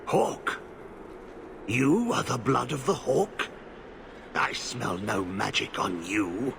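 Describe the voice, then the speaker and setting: An actor playing a half-mad, haunted older Grey Warden in a video game, gravelly, muttering in broken, repeated phrases. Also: male voice, on the low side, lively intonation, noisy background, heavily acted. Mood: agitated, fearful. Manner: halting, muttering, fragmented repetitive phrases. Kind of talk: video game dramatic acting.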